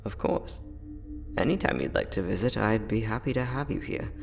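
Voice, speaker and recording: A man playing a friendly, teasing time-traveling inventor, soft-spoken and chatty in an ASMR roleplay audio drama. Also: on the low side, moderate pace, gravelly, soft background music. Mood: warm, sincere. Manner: soft-spoken, chatty, playful, with frequent chuckles.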